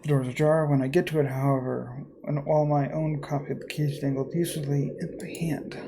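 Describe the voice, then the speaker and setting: A man reading a first-person horror story aloud as a let's player, in a measured, theatrical way. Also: a little high, level tone, noisy background.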